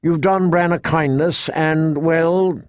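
Man playing a proud, unsure young lordling, higher-pitched. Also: fairly even intonation, a little mellow, clean tone, dry recording, studio quality. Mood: stiff, apologetic. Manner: halting, guarded. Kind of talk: audiobook character acting.

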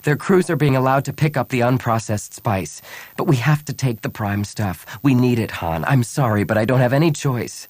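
A man's voice: mid-range voice, animated, normal speed, darkish tone, no echo, pitched above the performer's own voice, natural voice. Man voicing an earnest, insistent rebel woman. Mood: apologetic, insistent.

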